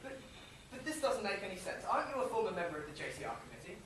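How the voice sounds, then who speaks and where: An actor playing a baffled, excitable sheriff in a student stage pantomime. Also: medium pitch, racing. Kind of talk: live student pantomime acting. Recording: echoey distant stage, noisy.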